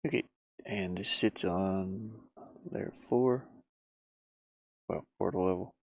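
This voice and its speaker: A man's voice: low-pitched, takes it slowly, creaky, no echo. A man thinking aloud in a casual way as he works on his game, muttering to himself in short fragments. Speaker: solo indie game developer. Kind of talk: casual think-aloud screen-recording commentary.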